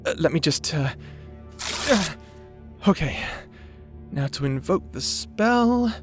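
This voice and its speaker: A man's voice: on the high side, expressive, slow pace, music in the background. Man acting a stammering, earnest young male mage. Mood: explaining, hesitant. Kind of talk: fantasy audio roleplay acting.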